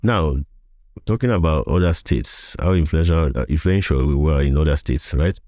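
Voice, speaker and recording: A man's voice: rumbling low, normal speed, darkish tone, dry recording, studio quality. A middle-aged man with a Nigerian accent, recounting past events in an interview, often repeating and rephrasing as he goes. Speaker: guest, veteran voiceover artist.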